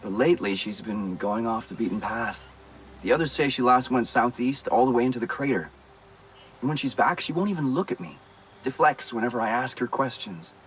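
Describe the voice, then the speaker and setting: A man's voice: medium pitch, brisk, a little hiss or hum, lightly acted, rough recording. An actor playing a worried, guarded but caring man in a video game, speaking hesitantly and conversationally. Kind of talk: naturalistic video game acting.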